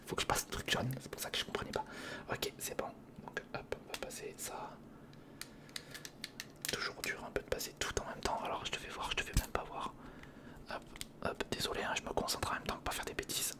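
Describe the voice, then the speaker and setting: A man whispering in a breathy, crackly voice, casually murmuring a step-by-step ASMR commentary as he thinks aloud. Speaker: ASMR video creator.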